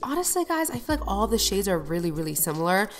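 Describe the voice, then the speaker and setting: A woman's voice: mid-range voice, very animated, quick pace, soft background music. Chatty young woman, a bit nasal. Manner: chatty, upbeat, addresses viewers directly. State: slightly nasal from a cold. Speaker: beauty vlogger. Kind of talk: get-ready-with-me voice-over.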